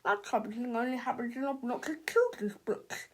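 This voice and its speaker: A condescending know-it-all father and scientist from a storybook, played by a woman. He sounds patronising and scoffing, in a fairly high voice. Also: brisk, husky. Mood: smug, dismissive.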